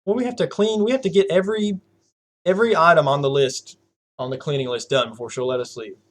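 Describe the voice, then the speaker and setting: Casual Southern podcast host, young man, rambling, laughs a lot, somewhat high-pitched. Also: fast talker. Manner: rambling, laughs often, lots of fillers. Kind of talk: casual chat.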